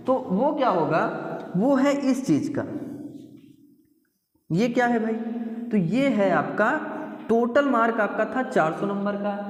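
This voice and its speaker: An exam coaching teacher from explains things informally to candidates, repeating points and often checking in with tag words. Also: mid-range voice. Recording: echoey, reverberant room.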